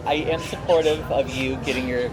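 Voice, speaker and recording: A man co-hosting a theme park review in a casual, halting, hedging way. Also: medium pitch, fairly even intonation, rough recording. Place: outdoors in a busy shopping district. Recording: loud music behind the voice.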